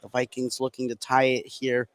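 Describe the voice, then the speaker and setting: A man's voice: mid-range voice, completely flat delivery, moderate pace, slight background noise. A man giving live play-by-play commentary on a basketball game for radio.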